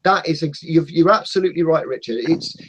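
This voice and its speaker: A man's voice: on the high side, brisk, a bit bright, slightly rough. A man from Portugal chatting on a video call, passionate and emphatic, calling the others mate. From Portugal (Madeira). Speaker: guest on an online hangout. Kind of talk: casual group chat.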